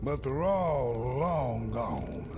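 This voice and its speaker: An actor playing a folksy male wayside sage in a dark fantasy video game, speaking in a colloquial, theatrical way. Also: mid-range voice, animated, extremely slow, noisy background. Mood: wistful, knowing. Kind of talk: video game character acting. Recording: music behind the voice. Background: music.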